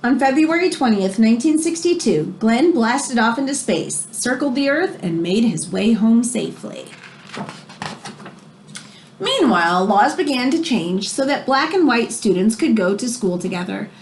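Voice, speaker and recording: Higher-voiced woman reading a book aloud. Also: unhurried, slight background noise. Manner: expressive, explains words for young listeners. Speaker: read-aloud storyteller. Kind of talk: reading a children's book aloud, with informal asides.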